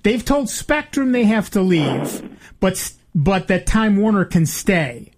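A man in his late sixties hosts a talk radio call-in show. He is chatty, laughs easily and often restarts his sentences. His voice sits high for a man. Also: big pitch swings, unhurried, noisy background. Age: 60s. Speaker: radio host.

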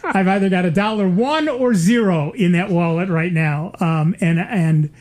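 An older man co-hosting a relaxed conversation. He wanders through his points with plenty of ums and uhs and restarts. He drops in dry, wry asides along the way. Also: high voice, normal speed. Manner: rambling, many fillers and restarts, wry asides. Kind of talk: casual chat.

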